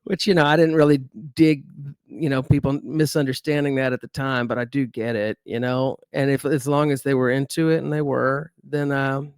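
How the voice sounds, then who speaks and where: A middle-aged man with a fairly high, crooning voice talks through his past in an easygoing way, backing up and restarting his sentences as he goes. His podcast mic sounds close and dry, with no room echo. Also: steady tone, moderate pace, clean tone. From Dallas, Texas. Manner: easygoing storyteller, lots of fillers and restarts. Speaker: musician guest. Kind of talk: relaxed podcast interview.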